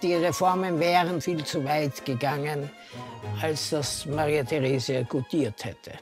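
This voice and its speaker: A woman speaking haltingly as a history expert in an explanatory interview. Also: sits low, fairly even intonation, over music.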